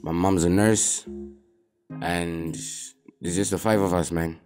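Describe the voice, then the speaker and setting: Young guy, deep low voice, laid back chat, faint music behind. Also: slow. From Zimbabwe. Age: young adult. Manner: relaxed, laid-back, drops in "man" often. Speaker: rapper. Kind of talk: casual talk to camera answering questions.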